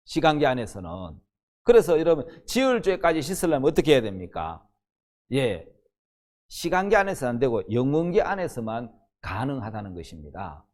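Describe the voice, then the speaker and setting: A man's voice: medium pitch, sing-song, moderate pace, darkish tone. A man in his sixties, a pastor teaching the Bible in a sermon, emphatic and often posing questions that he answers himself. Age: 60s.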